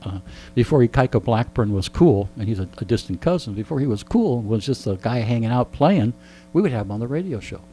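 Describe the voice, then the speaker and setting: A middle-aged man with a mid-range voice talks like an old hand of radio in an interview. He rambles through casual stories with dry humour. There is clear noise and a hum behind him. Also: mid-range voice, lively intonation, fairly quick, warm tone. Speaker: veteran radio show host being interviewed. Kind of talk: casual storytelling interview chat.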